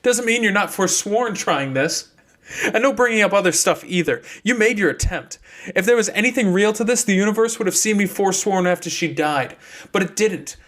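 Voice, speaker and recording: A man's voice: high voice, lively intonation, moderate pace, a bit bright, pitched above the performer's own voice. A man voicing a troubled young male apprentice in an audiobook, blunt, defensive and bitter. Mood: angry, defiant.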